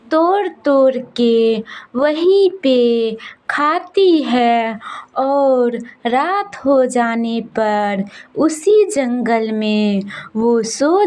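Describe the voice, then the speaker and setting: A woman's voice: fairly high pitch, darkish tone. A woman storyteller narrating a cartoon horror tale for children in a simple, repetitive oral style. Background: music.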